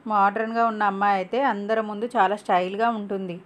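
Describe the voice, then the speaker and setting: A woman plays a middle-aged mother in a cartoon family tale. She is proud and bossy, speaking assertively at an ordinary female pitch. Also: little pitch movement, fast, close to an everyday voice. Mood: insistent, proud. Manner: assertive, dismissive to husband. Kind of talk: cartoon moral story voice acting.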